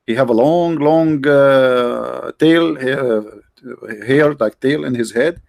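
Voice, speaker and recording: A man's voice: mid-range voice, takes it slowly, vocal fry, darkish tone, dry recording, studio quality. A man hosting a livestream, talking casually and sarcastically, with many restarts and fillers. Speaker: livestream host.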